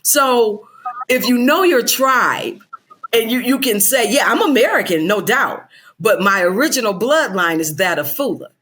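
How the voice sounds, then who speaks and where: A woman's voice: fairly high pitch, animated, normal speed, a bit bright. An older woman, a guest on a podcast, talking animatedly and laughing often. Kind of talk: casual interview chat.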